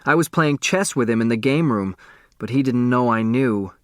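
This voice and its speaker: A man's voice: medium pitch, expressive, normal speed, no echo, clean studio sound, lightly acted. A man voicing an earnest, mournful teenage boy explaining himself in a storybook.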